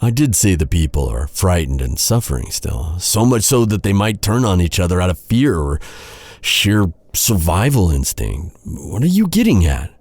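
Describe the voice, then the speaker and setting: A man playing an earnest, anguished knight in a dark fantasy audio roleplay, speaking gravely in halting, formal, old-fashioned phrases. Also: deep voice, sing-song, no room sound. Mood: dismayed. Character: earnest, anguished male knight confronting a captured princess.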